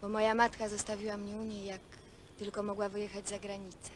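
An actress playing a dreamy young woman who confides wishful stories in halting phrases, in naturalistic Polish film dialogue. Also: mid-range voice, monotone, noisy background, close to an everyday voice. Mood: tender, hesitant. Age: young adult. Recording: old film soundtrack with music.

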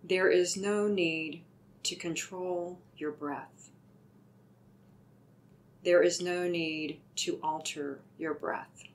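A woman with a low voice guides a meditation at a very unhurried pace. Her delivery is soothing and deliberate, giving each instruction gently.

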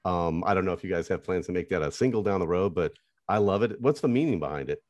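A man's voice: sits low, lively intonation, a little mellow, no echo. A man in his fifties, a radio host, asking eager questions in a video-call interview. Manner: quick, eager fan questions. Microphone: studio mic on video call.

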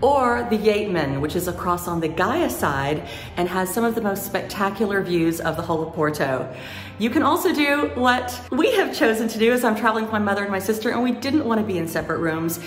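A woman's voice: mid-range voice, animated, fairly quick, reverberant, in a large hall, faint music behind. A woman hosting a travel vlog, chatting playfully and gushing as she narrates. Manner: playful, laughs often, gushing descriptive narration. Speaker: travel vlogger. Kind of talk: casual travel vlog chat and voice-over.

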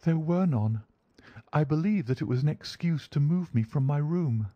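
A man voicing an anxious, genteel young English gentlewoman, earnest in manner, in a storybook reading. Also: fairly high pitch, lively intonation, moderate pace, no room sound, dull audio, voice pushed up.

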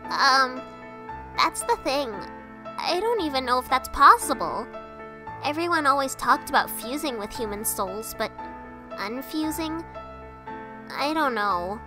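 Girl doing a small boyish skeleton guy for a comic dub, high voice, slow and earnest, kinda nervous but kind. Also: sing-song, music in the background. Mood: unsure. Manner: hesitant, stammering, earnest.

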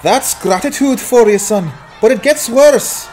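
A man voicing a brash, wry sidekick in a fantasy audiobook, speaking in an animated, urgent way. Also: very high-pitched, unhurried, soft background music, a faint crowd. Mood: wry warning. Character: brash, cheeky male sidekick urging on a young adventurer. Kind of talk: dramatized fantasy audiobook acting.

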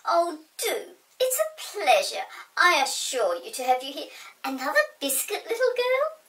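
A woman's voice: high-pitched, huge pitch swings, a little slow, a bit bright, recorded from a distance, pitched above the performer's own voice, full character voice, rough recording. A woman voicing a small, fawning, over-polite male goblin in a children's storybook, gushing with courtesy.

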